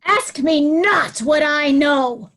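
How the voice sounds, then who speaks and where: A woman plays a haughty, villainous queen from Shakespearean tragedy. The voice is extremely high and slow, imperious and defiant. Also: expressive, a bit bright, full character voice. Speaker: amateur actor in a reader's theatre. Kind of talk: Shakespearean stage reading.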